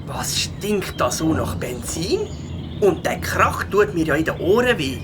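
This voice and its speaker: A man's voice: on the high side, sing-song, measured pace, background noise. Young beaver voiced by a man for a kids' audio story: Swiss German, good-natured, childlike, swooping intonation, birdsong behind. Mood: annoyed.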